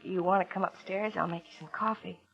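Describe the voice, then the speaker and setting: A woman's voice: fairly low pitch, steady tone, brisk, gravelly, fairly bright tone, husky, full character voice. An actress playing a bitter, world-weary young woman in an old radio drama, wry and vulnerable. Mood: pleading, wistful. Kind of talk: 1950s radio drama acting. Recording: dull old radio recording, music underneath.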